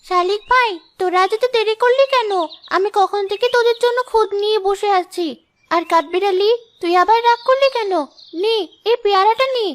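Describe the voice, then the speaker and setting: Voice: very high-pitched, normal speed, fairly bright tone. A performer voicing a sweet, eager, fearless little girl in a cartoon drama. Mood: playful, chiding. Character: dreamy, fearless little girl who talks to animals.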